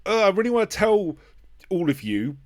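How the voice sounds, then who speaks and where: A man's voice: high voice, sing-song, normal speed. A man hosting a podcast in casual conversation, feeling his way through his phrases as he thinks aloud. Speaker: podcast host and interviewer. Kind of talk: casual chat.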